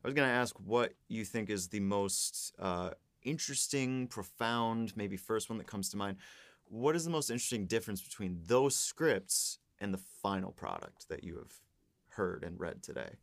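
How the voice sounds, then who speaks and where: A man's voice: on the low side, normal speed, dry recording. A man co-hosting a podcast, casually putting questions to a guest. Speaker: podcast host and interviewer.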